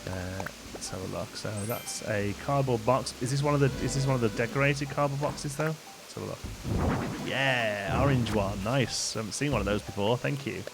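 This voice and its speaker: Chatty male streamer, deep voice, game music underneath. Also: normal speed, gravelly. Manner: chatty, reads out gift notes and thanks viewers. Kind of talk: casual live gameplay chat.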